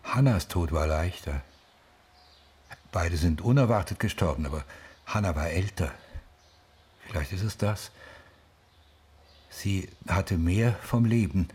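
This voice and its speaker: An actor playing a middle-aged, grieving father in a radio drama, reflective and halting, with a husky voice. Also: sits low, darkish tone, full character voice. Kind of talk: radio drama, interview-like monologue.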